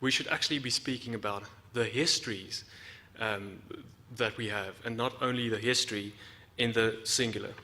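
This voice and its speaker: A man from South Africa giving a prepared academic lecture, explaining in a measured way with deliberate emphasis. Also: on the low side, steady tone, sharp tone, a little hiss or hum. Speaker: academic researcher giving a talk. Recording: reverberant hall.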